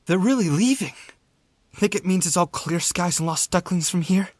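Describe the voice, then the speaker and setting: A woman voicing a small, hopeful young marten, earnest and expressive, in a dramatic comic dub. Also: on the low side, moderate pace, no room sound. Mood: relieved, excited. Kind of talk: dramatic comic fandub acting.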